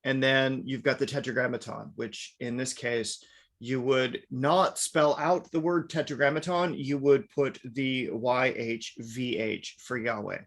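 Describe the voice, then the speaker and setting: A man's voice: mid-range voice, fairly even intonation, unhurried. Chatty man teaching a class. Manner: chatty, peppered with "right?" and "now". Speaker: workshop teacher. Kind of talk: casual online class lecture.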